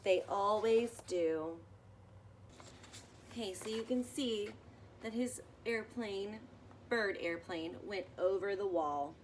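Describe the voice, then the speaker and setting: A woman reads a storybook aloud to young children at an unhurried pace. Her voice sits at a medium pitch, and she reads expressively with explanatory asides. There is some noise behind her. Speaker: storybook reader.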